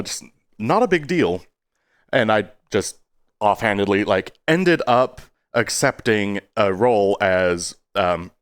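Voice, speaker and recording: A man's voice: fairly low pitch, no room sound, clean studio sound. A man giving a casual, rambling video update in a self-deprecating way, stopping and starting and slipping into exaggerated tones.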